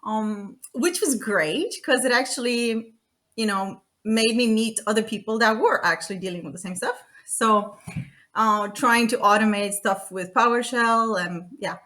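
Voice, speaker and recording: A woman's voice: fairly high pitch, unhurried, bright, forceful tone. A woman in her thirties from Romania, a guest talking casually on a podcast, chatty and full of fillers and restarts. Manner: chatty, frequent fillers and restarts, laughing often. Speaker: guest, data consultant.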